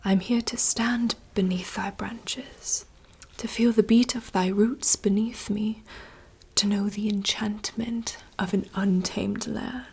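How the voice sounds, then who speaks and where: A woman voicing a wistful young princess in a soft, slow, poetic whisper. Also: mid-range voice, fairly even intonation, gravelly, slightly rough, heavily acted. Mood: yearning. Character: wistful young princess longing for wild woods. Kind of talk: whispered monologue reading.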